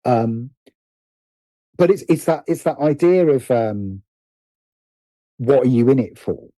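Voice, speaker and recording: A man's voice: mid-range voice, expressive, takes it slowly, warm tone, dry recording. A middle-aged British man speaking reflectively as an interview guest, often stopping to rephrase himself. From Britain. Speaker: guest, writer and producer.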